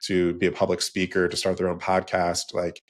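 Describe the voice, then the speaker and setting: A man's voice: low-pitched, completely flat delivery, brisk. A man with a cold, a bit nasal, speaking casually as a podcast guest, explaining his branding business in long rambling runs. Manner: rambling, restarts, lists in threes. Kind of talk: casual interview talk.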